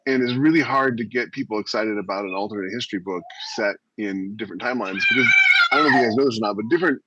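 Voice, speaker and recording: A man's voice: lowish voice, expressive, racing, lo-fi sound, very noisy. A man co-hosting a podcast in casual, conversational panel discussion. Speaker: podcast co-host and indie author.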